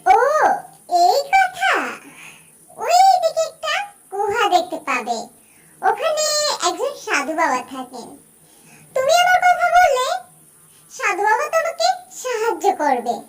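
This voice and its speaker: A performer voicing a kindly magical little yellow bird in a Bangla cartoon, with a sing-song, helpful way of talking. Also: very high voice, huge pitch swings, takes it slowly, long pauses, background noise, full character voice. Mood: kindly, concerned.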